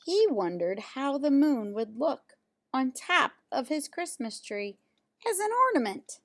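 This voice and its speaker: A woman reading a children's picture book aloud, pausing for casual asides and questions to young listeners. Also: high-pitched, sing-song. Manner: pauses to comment on pictures and ask listeners questions.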